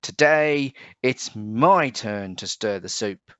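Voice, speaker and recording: A man's voice: medium pitch, expressive, slow, fairly bright tone, clear, resonant tone, no echo, lo-fi sound. A man voicing a stubborn little male duck in a children's storybook, with an insistent manner. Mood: determined. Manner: insistent, plaintive. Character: plucky stubborn little male duck wanting his turn.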